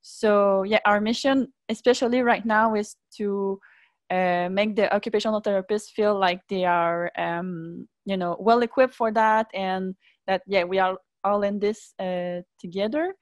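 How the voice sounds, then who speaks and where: French-speaking woman, halting Quebec accent. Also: medium pitch, no echo, studio quality. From Montreal, Canada. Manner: halting, searching for English words, many "uh"s. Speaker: webinar participant. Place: indoor room at home. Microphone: earbuds on video call.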